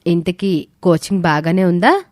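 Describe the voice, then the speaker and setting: A woman's voice: on the low side, lively intonation, measured pace, darkish tone, no room sound, studio quality. A woman voicing a caring, encouraging teacher in a dramatised moral story, kindly and reassuring.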